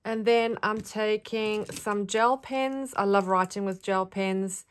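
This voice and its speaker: Woman, South African accent, casual rambling craft vlog chat, medium pitch. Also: steady tone, takes it slowly, smooth. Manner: chatty, friendly, rambling with fillers. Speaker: craft vlogger. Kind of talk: casual show-and-tell.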